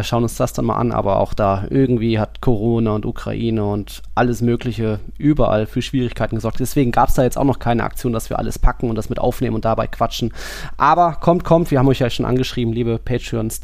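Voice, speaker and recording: A man's voice: mid-range voice, steady tone, a little fast, vocal fry, darkish tone, no room sound. A man co-hosting a football podcast, chatting casually in a loose, colloquial way. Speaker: podcast co-host.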